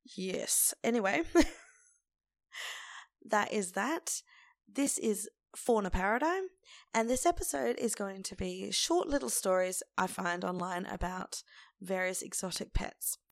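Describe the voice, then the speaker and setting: Croaky, hoarse woman podcasting. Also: mid-range voice, expressive, slow, dry recording. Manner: informal, conversational. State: lingering hoarseness after laryngitis. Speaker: podcast host. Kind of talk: casual solo podcast talk.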